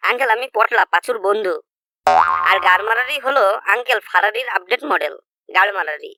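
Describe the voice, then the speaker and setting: A woman's voice: medium pitch, expressive, a little fast, pushed and bright. A woman voicing a cocky, greedy young male friend who boastfully rattles off exaggerations in a comedy cartoon.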